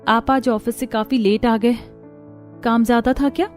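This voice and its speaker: A woman's voice: a little high, steady tone, moderate pace, faint music behind, close to an everyday voice. A woman voicing a timid young bride who speaks meekly and anxiously. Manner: meek, hesitant.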